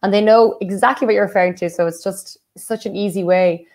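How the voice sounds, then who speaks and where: A woman's voice: medium pitch, steady tone, fairly quick, darkish tone. An Irish woman, a writer and translator, talking as a guest in a casual interview and thinking aloud with many fillers. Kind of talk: casual conversation.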